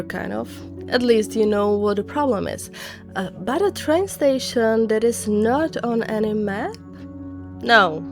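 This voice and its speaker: A woman narrating scary stories conversationally as host, dropping dramatic short phrases and wry personal reactions. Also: on the high side. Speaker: narrator and host of horror legend videos. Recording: music bed under voice. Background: background music.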